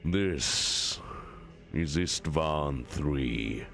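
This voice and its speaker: A man voicing a very deep, gravelly, commanding warlord. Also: very slow, fairly bright tone, soft background music, full character voice. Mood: grave, authoritative. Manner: formal, declamatory. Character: commanding warlord primarch, grave and measured, briefing his brothers.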